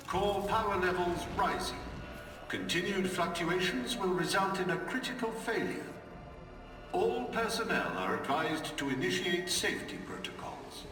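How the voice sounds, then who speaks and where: Polite automated base announcer; high, roomy, distant PA sound with long reverb. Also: male voice. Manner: calm, polite, formal announcements. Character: calm male facility announcement system. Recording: echoey, far-off PA over music.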